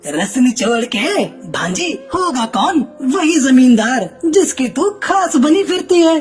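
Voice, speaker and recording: A woman's voice: high-pitched, big pitch swings, slow, a little mellow, husky, soft background music, exaggerated voice. A woman voicing a scheming, tattling crow uncle in a children's cartoon, exaggerated and goading. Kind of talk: Hindi children's cartoon acting.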